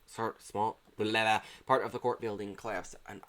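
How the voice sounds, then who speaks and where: A woman's voice: extremely low pitch, steady tone, moderate pace, fairly bright tone, slight background noise. A woman game streamer reading on-screen game text aloud during a livestream.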